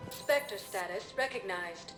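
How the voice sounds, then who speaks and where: An actress playing a polite female space-station computer in a game, measured and impersonal like an automated announcement. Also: medium pitch, natural voice. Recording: echoey announcement with music behind.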